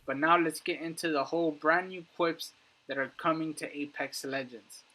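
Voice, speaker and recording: A man's voice: fairly high pitch, fairly even intonation, moderate pace, sharp tone, rough recording. A man giving casual, emphatic commentary on video game lore, addressing his viewers directly. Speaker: YouTube gaming content creator.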